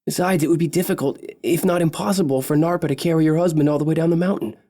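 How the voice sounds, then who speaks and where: A man's voice: fairly high pitch, fairly even intonation, fast talker, dark tone. A man voicing an earnest young male adventurer who lost his merchant father.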